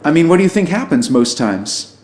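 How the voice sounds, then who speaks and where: A man's voice: a little high, very animated, brisk, darkish tone. A man playing an affable, nervous alien who poses as human, a pacifist pessimist speaking in wry, rambling, conversational asides in an audio drama. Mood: wry, confiding. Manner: informal, rambling, self-interrupting, wry asides. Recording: roomy echo, music underneath.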